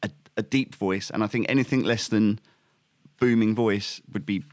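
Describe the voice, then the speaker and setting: A man with a fairly low voice, talking casually into a close podcast mic. He is blunt and sweary, throwing in sarcastic asides. The sound is dry, with no room around it. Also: fairly even intonation, moderate pace, no room sound. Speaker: podcast co-host. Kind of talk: casual chat.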